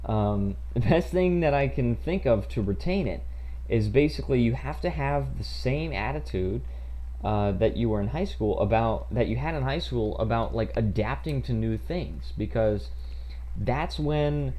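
A man's voice: medium pitch, animated, measured pace, warm tone. A man in his twenties chatting casually while streaming a game, rambling with many fillers and restarts, on a headset mic in a small room at home. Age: 20s. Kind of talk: casual chat while gaming.

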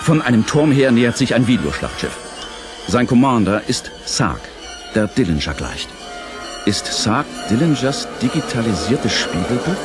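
A man narrating a German radio play in a theatrical, deliberate scripted style. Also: mid-range voice, sing-song, over music.

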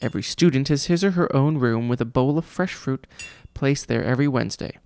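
A man's voice: medium pitch, a little fast, a little mellow, clean tone, lightly acted. A man reading a storybook, voicing a pompous, cruel male vice principal who mimics people mockingly. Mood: smug.

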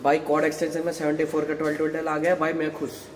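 A man's voice: a little high, completely flat delivery, racing, noisy background. Breathless young man vlogging in a gym. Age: young adult. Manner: chatty, reports his sets and weights between exercises. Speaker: fitness vlogger. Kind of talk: casual workout vlog narration. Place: indoor gym. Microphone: handheld phone camera. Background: loud gym music.

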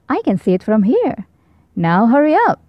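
A woman voicing a patient mother owl who coaxes her child gently, in a children's storybook read-aloud. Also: on the high side, sing-song, normal speed, dry recording. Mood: coaxing. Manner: gently coaxing. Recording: dull lo-fi sound.

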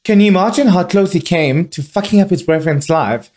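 A man's voice: high-pitched, brisk, a little mellow, close to an everyday voice. An actor playing a concerned, affectionate boyfriend in a naturalistic radio drama, casual in his way of talking. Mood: appalled. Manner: casual, caring, swearing freely.

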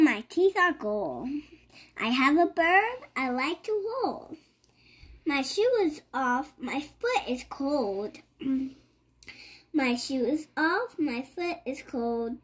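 Slow, high-voiced child reading aloud. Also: animated, a bit bright. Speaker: child reader. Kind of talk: reading a picture book aloud.

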